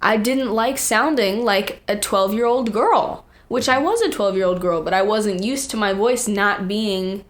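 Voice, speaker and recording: A woman's voice: mid-range voice, unhurried, hardly any pauses. Teenage girl, casual storyteller. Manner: animated retelling with acted-out quotes and frequent "like". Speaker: young singer and songwriter, interview guest.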